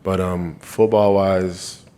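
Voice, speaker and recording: Low, flat-voiced young man from Canada. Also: slow, clear, resonant tone. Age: 20s. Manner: earnest, repeats and restarts phrases. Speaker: college football player. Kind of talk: press conference answers. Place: media day press room.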